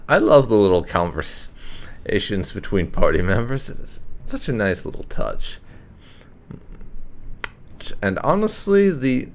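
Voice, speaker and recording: A man giving casual commentary on a roleplay video game playthrough, musing and pausing between phrases. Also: fairly low pitch, big pitch swings. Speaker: gaming channel host.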